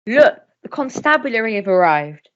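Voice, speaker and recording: A woman's voice: a little high, very animated, moderate pace, highs cut off. A woman playing a blustering older major and father in a radio melodrama, grandiose and declamatory. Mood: brisk, commanding. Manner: grandiose, declamatory, repeating words for effect. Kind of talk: melodramatic radio play acting.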